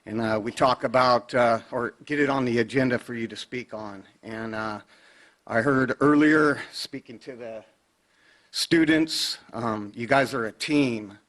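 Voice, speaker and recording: A man's voice: medium pitch, measured pace, a bit bright. A man giving public comment at a county board meeting at a podium microphone, plain-spoken and urging, with frequent fillers.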